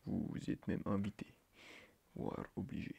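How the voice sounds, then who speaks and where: A man playing a fussy, flustered schoolteacher in a soft-spoken ASMR roleplay, hesitant, breathy and stiffly formal, often muttering near a whisper. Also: normal speed, dull audio, natural voice. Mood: nervous, polite. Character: fussy, flustered male schoolteacher on first day.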